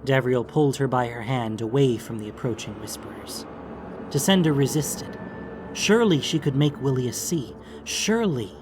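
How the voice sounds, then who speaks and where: A man giving measured, theatrical narration of a fantasy audiobook. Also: mid-range voice, animated, noisy background.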